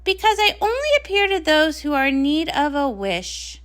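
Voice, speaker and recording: A woman plays a magical wishing well nymph in a comic read-along, whimsical and grandiose. The voice is high and bright, chatty and full of theatrical swoops. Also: sing-song, normal speed. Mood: proud, helpful.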